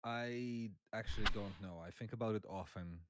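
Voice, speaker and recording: A man who grew up in Russia, a guest chatting casually on a podcast about old games, talking with many pauses and fillers. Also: lowish voice, background noise.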